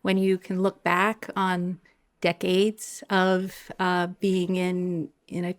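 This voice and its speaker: A middle-aged woman hosting an interview podcast, who speaks reflectively and often pauses to search for words. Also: lowish voice, completely flat delivery. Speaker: podcast host.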